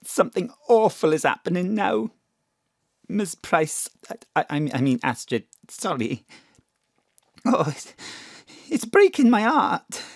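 An actress playing a distraught bereaved mother in an audio drama, halting, stammering and pleading. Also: lowish voice, big pitch swings, vocal fry, dry recording, studio quality, exaggerated voice.

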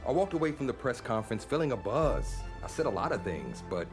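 Man playing a reflective athlete, expressive delivery. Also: mid-range voice, a little fast, music in the background, natural voice. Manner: earnest, reflective. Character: reflective young male football prospect telling his story. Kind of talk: documentary-style confessional in a game.

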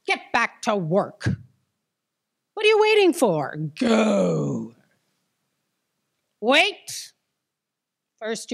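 Woman acting an imperious, husky-voiced boss. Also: mid-range voice, heavily acted. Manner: curt, bossy, theatrical. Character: imperious, secretive, irritable female office boss. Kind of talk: staged audio drama acting. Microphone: stand microphone on a theatre stage.

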